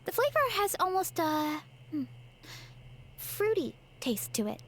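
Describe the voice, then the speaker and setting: A woman's voice: very high-pitched, sing-song, measured pace, heavily acted. A woman voicing a friendly young woman from a fruit farm, talking in a chatty, easygoing way in a game. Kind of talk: game voice acting. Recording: game audio with faint music.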